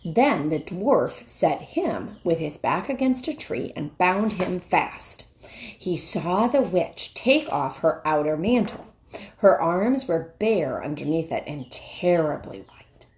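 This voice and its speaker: Middle-aged woman reading slowly and expressively. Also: lowish voice, animated, darkish tone. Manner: deliberate, pauses to explain to listeners. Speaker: teacher reading aloud. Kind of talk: expressive storybook read-aloud. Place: indoors, wood-panelled room.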